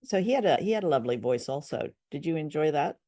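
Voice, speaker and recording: A woman's voice: low-pitched, lively intonation, fast talker, mellow. Conversational woman, hesitant co-host. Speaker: co-host of an old-time radio drama discussion show. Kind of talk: casual chat, review commentary.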